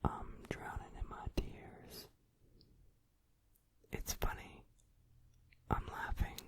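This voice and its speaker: A performer whispering the part of a cruel, gambling male boogeyman who gloats in singsong. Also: natural voice. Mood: gloating. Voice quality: whispered, unvoiced. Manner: mocking, singsong. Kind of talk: whispered song cover, ASMR.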